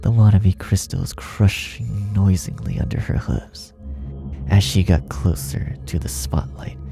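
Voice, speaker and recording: A man narrating a horror story from a script in a dramatic, gravelly voice that often sinks toward a breathy hush. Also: deep voice, unhurried, over music. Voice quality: gravelly, often dropping to breathy near-whisper.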